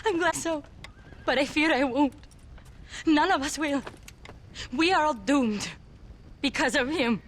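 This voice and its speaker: A woman's voice: high voice, unhurried, long pauses, slight background noise. An actress playing a fearful, bitter young woman in a dramatic video game scene. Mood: grim. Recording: faint music behind dialogue.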